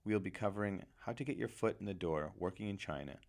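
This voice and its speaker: A man delivering a scripted, measured podcast introduction as host. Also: sits low, vocal fry, a little mellow. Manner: measured, read-aloud delivery.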